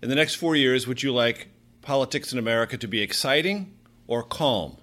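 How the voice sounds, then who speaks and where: A man hosting a news interview podcast, asking questions in a crisp, conversational way. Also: mid-range voice, a little fast, fairly bright tone. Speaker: podcast host.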